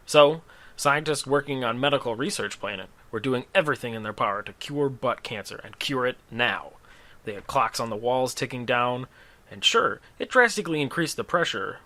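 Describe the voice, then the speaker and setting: A man's voice: mid-range voice, sharp tone. Man, comic storyteller, scripted narration, dramatic tongue-in-cheek delivery, casual asides. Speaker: storyteller, host of a story series.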